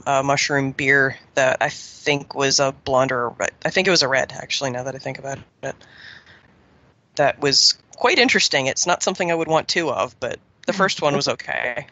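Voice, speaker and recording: A podcast co-host with a relaxed, easygoing way of talking. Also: medium pitch, fairly quick, no room sound. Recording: dry, dull lo-fi audio.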